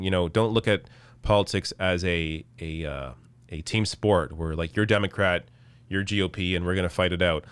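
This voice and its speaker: A man in his thirties hosting a live political stream, reading chat and answering in a casual, halting way while losing his voice. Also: deep voice, a bit bright, clean tone, no room sound. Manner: casual, halting, many restarts. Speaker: political show host. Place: small dark room, indoors. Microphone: studio mic on arm in front.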